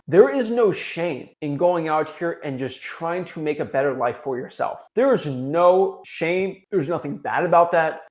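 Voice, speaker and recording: A young American man giving an unscripted motivational talk as a coach, emphatic and repetitive, with informal slangy phrasing. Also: fairly high pitch. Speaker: online business coach.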